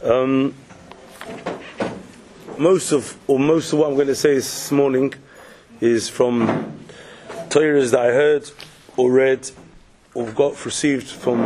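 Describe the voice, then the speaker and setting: A man with a cold, croaky, giving a morning Torah lecture as a rabbi, posing questions and answering them step by step. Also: mid-range voice, darkish tone, slight background noise. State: has a cold.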